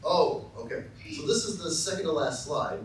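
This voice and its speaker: A middle-aged man from Texas giving a formal acceptance speech with dry, self-deprecating humor, often pausing with ums and uhs. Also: a little high, expressive, slight background noise. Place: large lecture hall. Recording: very echoey hall, voice from far off.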